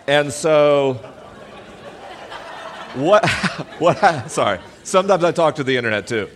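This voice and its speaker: A man with a deep, booming voice, hosting a live podcast event and talking to his audience from the stage in an animated, self-deprecating way through an amplified mic. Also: takes it slowly. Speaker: podcast host.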